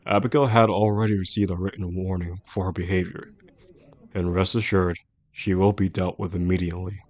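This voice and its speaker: A deep-voiced male boss, acted by a man speaks directly to the listener in an audio drama. He is composed and formal, choosing words with measured care. His reassurance carries a quietly obsessive devotion. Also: fairly even intonation, close to an everyday voice. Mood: firm, protective. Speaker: voice actor in a roleplay audio drama. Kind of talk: intimate scripted roleplay audio addressed to the listener.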